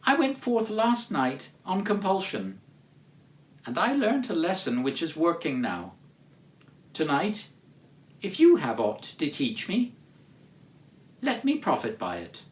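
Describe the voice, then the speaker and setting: A man's voice: fairly high pitch, wildly swooping pitch, slow pace, pauses often, full character voice. A man voicing a humbled, timid old miser in a storybook reading, meek and submissive. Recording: roomy echo, distant microphone.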